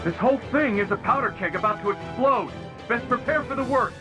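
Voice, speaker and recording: A man's voice: very high voice, animated, a little fast, music in the background. A man voicing an urgent, loyal young soldier in a video game drama, earnest and agitated, with slightly dull sound. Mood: grim warning.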